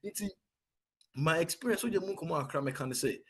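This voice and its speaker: A young Ghanaian man with a Ghanaian accent, a soldier telling his story in a casual interview, animated and repeating phrases for emphasis. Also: a little high, brisk, breathy edge. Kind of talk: casual interview, mixing English and Twi.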